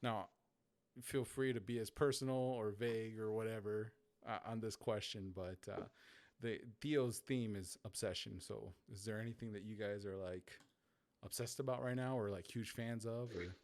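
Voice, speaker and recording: A man's voice: fairly low pitch, steady tone, moderate pace, darkish tone. A man hosting a podcast, interviewing casually in an informal, accommodating way. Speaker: podcast host.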